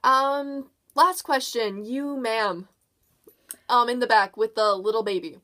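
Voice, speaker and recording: A woman's voice: a little high, slow pace, bright, forceful tone. A woman playing a polite male official answering questions in a puppet show. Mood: friendly, apologetic.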